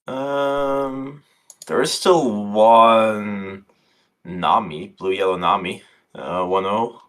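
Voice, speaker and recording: A man's voice: on the low side, extremely slow, a bit bright. A man co-commentating a card game tournament stream, chatty and thinking aloud as he reads the board.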